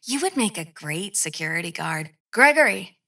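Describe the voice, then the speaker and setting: A woman's voice: fairly low pitch, very animated, moderate pace, sharp tone, dry recording, studio quality, exaggerated voice. A young woman playing a stern, irritated night security guard in a horror video game, exasperated and sometimes sing-song when coaxing. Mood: condescending.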